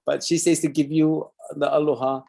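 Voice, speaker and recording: A man's voice: on the high side, flat delivery, moderate pace, vocal fry, dark tone, slight background noise. A man with a Hawaiian Pidgin accent hosting a talk show, chatting loosely and reading viewer comments aloud with Hawaiian words mixed in. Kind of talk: casual live-stream sign-off chat.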